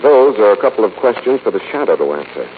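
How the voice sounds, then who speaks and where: An actor playing a suave, analytical amateur sleuth, terse and brisk, in a 1940s radio mystery. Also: male voice, lowish voice, sing-song. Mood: focused. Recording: muffled, noisy 1940s radio recording.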